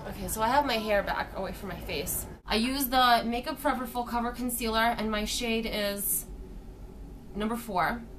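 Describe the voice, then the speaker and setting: A young woman, slightly hoarse from a sore throat, talks casually through a makeup tutorial. She explains each step as she applies it. The sound comes from a webcam in her bedroom. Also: on the high side, moderate pace, fairly bright tone, a little hiss or hum. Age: young adult. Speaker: beauty vlogger. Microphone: webcam at desk distance.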